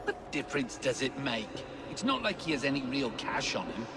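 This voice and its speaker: An actor voicing a nervous, chatty street counterfeiter. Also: male voice, medium pitch, very animated, normal speed. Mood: uneasy. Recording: game scene with loud street noise.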